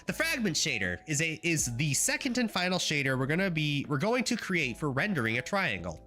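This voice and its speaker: A man's voice: on the high side, sing-song, brisk, pushed and bright, soft background music. Congested, nasal young-sounding man streaming. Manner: chatty, rambling, wry asides to chat. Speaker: livestreamer learning graphics programming. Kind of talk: casual live-coding stream commentary.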